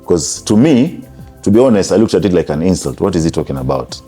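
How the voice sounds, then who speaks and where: Kenyan man, very low and mellow, proud storyteller, faint music behind. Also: very animated, fast, breathy edge. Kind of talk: casual anecdote.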